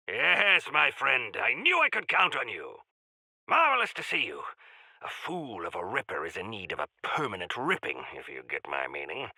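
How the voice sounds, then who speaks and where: Flamboyant, wildly swooping heroic quest-giver. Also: male voice, sits high, creaky, sharp tone, no room sound, exaggerated voice. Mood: triumphant, grand, then grim, wry. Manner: pompous, florid, theatrical phrasing. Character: grandiloquent heroic male quest-giver of a mountain folk. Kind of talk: video game character voice lines.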